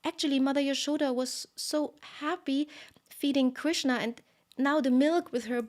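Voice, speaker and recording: A woman telling a story live in an expressive way, with fillers and dramatic pauses. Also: high-pitched.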